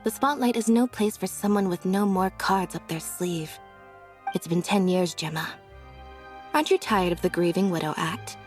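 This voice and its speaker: A woman playing a young magician's assistant who speaks in a flat, measured, understated way over faint game music. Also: lowish voice, lightly acted. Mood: cold.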